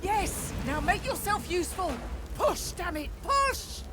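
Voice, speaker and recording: An actress playing an urgent female soldier in a video game, barking clipped, shouted commands. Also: extremely high pitch, very animated, slow pace, very noisy, exaggerated voice. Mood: urgent, straining. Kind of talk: video game dialogue acting. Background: loud music.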